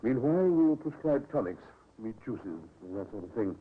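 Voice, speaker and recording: An actor playing a grave, careful consulting doctor in a 1950s radio drama. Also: male voice, on the low side, sing-song, normal speed. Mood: grave, firm. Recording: tinny old radio recording with hiss.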